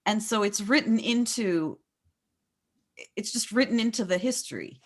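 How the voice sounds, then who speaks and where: A woman's voice: medium pitch, lively intonation, moderate pace. An American woman leading a book discussion, speaking in a reflective, explanatory way and often rephrasing mid-sentence. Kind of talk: thoughtful literary discussion.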